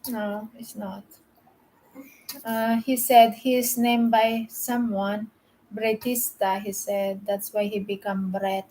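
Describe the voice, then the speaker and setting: A woman's voice: medium pitch, little pitch movement, slow, warm tone, poor audio. A woman from the Philippines, hosting a casual live stream, chatting and greeting viewers by name.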